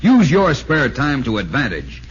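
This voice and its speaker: Animated male 1940s radio announcer. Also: medium pitch, unhurried. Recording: dull, lo-fi old radio, faint noise.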